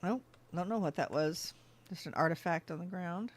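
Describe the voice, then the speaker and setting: A let's-play host talks through a mining game in easy, chatty commentary. They think aloud, ask themselves questions and answer them. The pitch sits in a plain middle range. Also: moderate pace. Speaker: gaming channel host.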